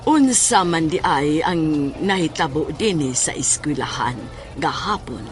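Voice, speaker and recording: A woman playing a stern, probing questioner in a horror audio drama, speaking deliberately and insistently. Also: sits low, animated, heavy background noise. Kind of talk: Filipino audio drama acting.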